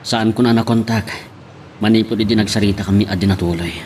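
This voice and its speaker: A man's voice: on the low side, fairly even intonation, fairly quick, darkish tone, slight background noise, natural voice. A man with an Ilocano Filipino accent playing a worried father in an audio drama. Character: worried father waiting on his absent wife. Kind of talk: Ilocano radio-style drama acting.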